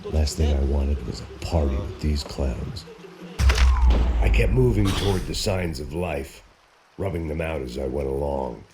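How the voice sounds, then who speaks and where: A very low-pitched American man, narrates like a washed-up noir bodyguard in a video game. He is terse, sardonic and bitter, a world-weary drinker, heard over clear background noise. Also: unhurried. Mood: grimly sardonic. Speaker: narrating protagonist.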